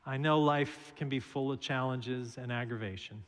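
A middle-aged man, a minister, preaching a sermon from the pulpit, telling stories in a slow and measured way. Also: mid-range voice. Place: large church sanctuary, at a pulpit. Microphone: pulpit microphone. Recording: reverberant church hall.

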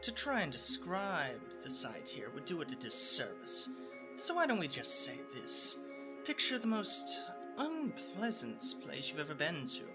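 A man's voice: fairly high pitch, huge pitch swings, measured pace. A man narrating a scripted story in a storybook style. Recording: loud background music.

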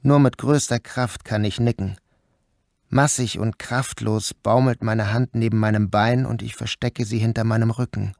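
A man's voice: on the low side, steady tone, moderate pace, vocal fry, darkish tone, no room sound, clean studio sound. An actor playing an anxious, shaken man narrating his own mishap in a radio play, in precise literary phrasing. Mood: uneasy.

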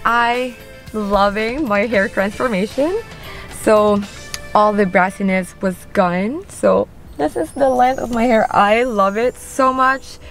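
A young woman chatting upbeat to her phone camera in a parked car, with many fillers. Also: medium pitch. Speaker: vlogger. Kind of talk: casual vlog chat to camera. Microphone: phone held at arm's length.

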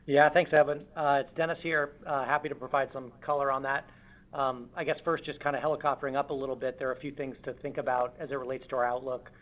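A man's voice: medium pitch, level tone, quick pace. A man speaking as a finance executive on an earnings call, choosing words carefully and hesitantly. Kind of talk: earnings call answer, business commentary. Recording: dull lo-fi conference call audio.

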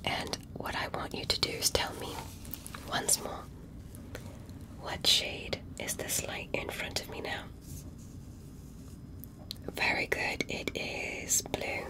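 Slow whispering ASMR woman. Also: poor audio. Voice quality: breathy, unvoiced whisper. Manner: slow, gentle, careful step-by-step instructing and reassuring. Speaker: ASMR roleplayer acting as an alien medical examiner. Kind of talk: ASMR medical roleplay.

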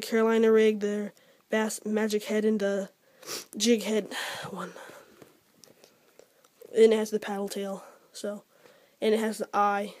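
A teenage boy with an American accent talking casually and a little haltingly as he shows things to a handheld camera at home. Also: high voice, a little mellow, dry recording. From Georgia, United States. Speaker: fishing vlogger. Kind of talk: casual unscripted product showcase. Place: room at home. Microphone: handheld camera at arm's length.

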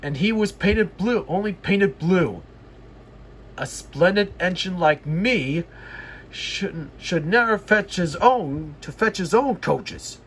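A man's voice: high voice, expressive, slow pace, a bit bright, a little hiss or hum, exaggerated voice, low-quality recording. A man voicing a cross, vain, impatient steam engine in a children's storybook, rude and urgent. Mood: cross, proud. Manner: rude, urgent, repeats commands. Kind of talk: children's storybook read-aloud.

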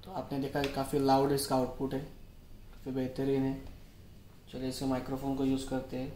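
A man explains a product casually, in a very even, monotone delivery. His voice sits at a medium pitch. The room adds clear echo, with faint noise behind him. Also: normal speed. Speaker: product demonstrator. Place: echoey showroom with product displays.

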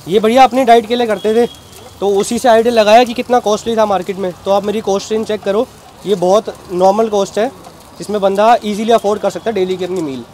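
A man's voice: sits high, fairly even intonation, quick pace, darkish tone, slight background noise. A young man running a street food stall, politely explaining his menu items and their protein counts in detail. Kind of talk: casual explaining to a food vlogger.

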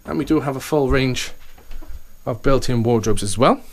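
A man's voice: mid-range voice, normal speed. A man, an estate agent, giving an upbeat walkthrough tour of a house, full of sales patter and repeated "okay" and "fantastic".

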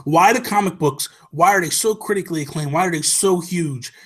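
Middle-aged man with a higher-pitched voice. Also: brisk, a bit bright, breathy edge. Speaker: podcast co-host. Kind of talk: casual opinionated chat. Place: small home room. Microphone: desk microphone, headset headphones.

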